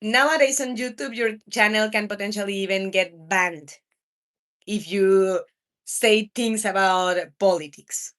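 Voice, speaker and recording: A woman's voice: mid-range voice, unhurried, fairly bright tone. A woman in her thirties from Mexico, a native Spanish speaker with a Mexican accent, chatting casually with viewers as a livestream host. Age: 30s. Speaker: video game live streamer.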